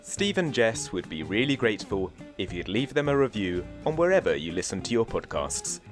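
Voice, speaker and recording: A man's voice: on the low side, big pitch swings, moderate pace. A man reading a scripted, upbeat podcast promo as an announcer. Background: guitar music.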